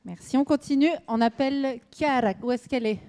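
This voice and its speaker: Smooth-voiced woman emceeing on stage. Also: sits high, a little fast, dark tone. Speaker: event host. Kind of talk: emcee introductions.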